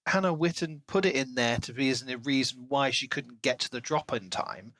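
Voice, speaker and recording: A man's voice: medium pitch, moderate pace, sharp tone, no room sound. A man co-hosting a podcast, discussing books in a casual, conversational way. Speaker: podcast co-host.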